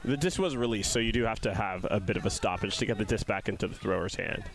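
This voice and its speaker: A man's voice: fairly low pitch, fast, vocal fry. Young man giving casual game analysis. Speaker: sports commentator. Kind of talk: casual live analysis and commentary.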